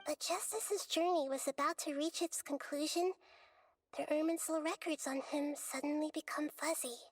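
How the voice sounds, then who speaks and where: A woman playing a small, wise young goddess in a game, speaking in a calm, measured, articulate and gently explanatory way. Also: high voice, no echo, lightly acted. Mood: grave, thoughtful. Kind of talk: scripted game dialogue. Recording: background music under the voice.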